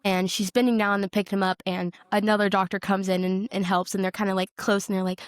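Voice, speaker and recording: A woman's voice: mid-range voice, flat delivery, brisk, dry recording. A woman co-hosting a podcast, chatting casually and informally about television, often dropping in "like". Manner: informal, peppered with "like". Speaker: podcast co-host. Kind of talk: casual chat about a TV show.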